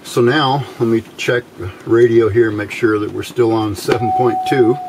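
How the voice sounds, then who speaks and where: A man giving an unscripted hands-on electronics demonstration in a measured, explanatory way, pausing between short phrases. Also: medium pitch. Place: indoors at a workbench. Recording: steady background room noise.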